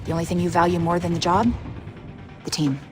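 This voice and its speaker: Fast, hard-nosed female intelligence chief, played by an actress. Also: lowish voice, fairly even intonation, music in the background, natural voice. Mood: defiant. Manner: clipped, assertive. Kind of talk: dramatic video game cutscene acting.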